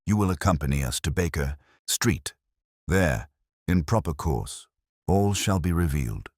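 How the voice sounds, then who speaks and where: A man voicing a grave, confident gentleman detective in a storybook, with measured, formal, authoritative speech. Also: extremely low pitch, animated, dry recording, studio quality, exaggerated voice. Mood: solemn. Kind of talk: audiobook character dialogue.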